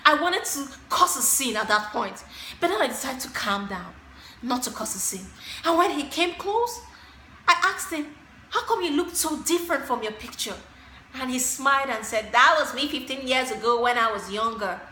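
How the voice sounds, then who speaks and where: Actress as a high-voiced, exasperated, dramatic single woman. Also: expressive, sharp tone. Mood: angry, incredulous. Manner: theatrical, emphatic, drawn-out stressed words. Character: exasperated, dramatic single woman venting about a disastrous date. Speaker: actress performing a monologue. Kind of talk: dramatic comic monologue, confiding in a girlfriend. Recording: roomy echo, faint background noise.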